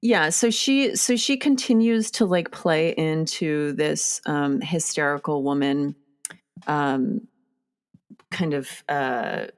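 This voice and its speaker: A young woman with a low voice hosts a casual talk about films. She is chatty and often stops to search for the right word, filling the gaps with ums. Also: slow pace, smooth. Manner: chatty, searching for words, many fillers. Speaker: podcast host. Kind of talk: casual film discussion.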